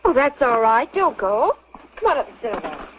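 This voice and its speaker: An actress playing a sociable, curious young sweetheart from a mining camp in a vintage Western radio drama. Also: female voice, on the high side, big pitch swings, normal speed. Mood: welcoming. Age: young adult. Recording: muffled, noisy old radio recording.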